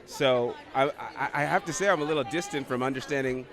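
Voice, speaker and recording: An American man, a guest on a red carpet, answering in a thoughtful, measured way with some restarts. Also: medium pitch, a crowd in the background. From United States. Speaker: actor guest. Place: outdoor red carpet event. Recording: outdoor event with music.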